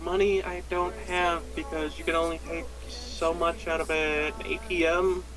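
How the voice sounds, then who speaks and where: A man's voice: fairly high pitch, level tone, normal speed, poor audio. A young American man telling a personal story casually, in a rambling, self-deprecating way. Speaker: podcast storyteller. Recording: background music throughout. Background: music.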